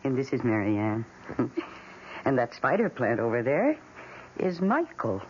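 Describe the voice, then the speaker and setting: A woman's voice: rumbling low, very animated, unhurried, full character voice. An actress playing a lonely, fragile housewife who is devoted to her houseplants, timid, pleading and wistful, in an old-time radio drama. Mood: shy, fond. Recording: muffled, hissy vintage radio recording.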